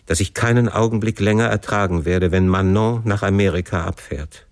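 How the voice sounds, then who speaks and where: A man's voice: fairly low pitch, moderate pace, no echo, clean studio sound, close to an everyday voice. A man as a desperate, pleading young son. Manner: imploring, fervent, emotional.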